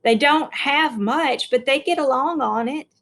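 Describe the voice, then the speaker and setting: A woman's voice: high voice, measured pace, fairly bright tone, voice pushed up. Woman as young schoolgirl, storybook character, frank, earnest, keen to explain things.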